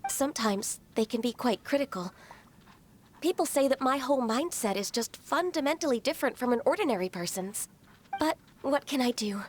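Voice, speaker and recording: Shy polite game maiden, high gentle voice, formal n hesitant, soft music behind. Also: female voice. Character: gentle, shy, dutiful young-sounding half-human woman, ages old. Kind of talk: video game character dialogue.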